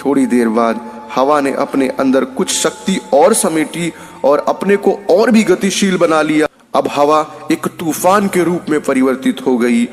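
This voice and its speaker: A man's voice: mid-range voice, in a large hall. A man narrating a scripted moral story as a storyteller.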